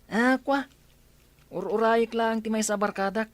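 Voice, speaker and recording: A woman's voice: on the high side, steady tone, measured pace, no room sound, natural voice. A woman voicing giggly cheeky schoolboy Rico. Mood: teasing. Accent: Ilocano. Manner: giggly, teasing. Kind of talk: radio drama acting.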